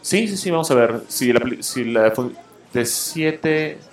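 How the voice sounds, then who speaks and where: A man's voice: medium pitch, lively intonation, measured pace, roomy sound. A man hosting a show, giving a blunt, opinionated film review full of fillers and restarts. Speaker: show host reviewing films and comics. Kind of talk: casual opinionated radio-style review.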